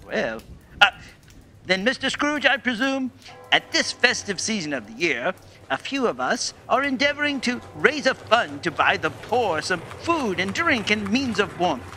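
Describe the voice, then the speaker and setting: Man playing a bright, high-voiced cheerful gentleman. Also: sing-song, unhurried, soft background music, full character voice. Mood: polite. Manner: earnest, courteous, exclamatory. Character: cheerful, earnest, polite well-wishing gentleman. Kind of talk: radio play acting. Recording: faint background noise.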